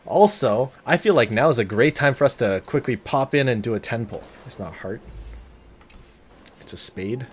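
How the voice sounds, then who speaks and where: A man's voice: medium pitch, lively intonation, moderate pace, slight background noise. A man giving casual, joking, sweary live commentary on a game. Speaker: game streamer. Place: live stream with faint game music behind.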